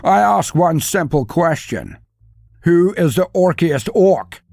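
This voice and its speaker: A man's voice: on the high side, sing-song, takes it slowly, gravelly, darkish tone, no echo, studio quality, pitched above the performer's own voice, heavily acted. A man voicing an ork chieftain who rouses an arena crowd with rhetorical questions. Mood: rousing.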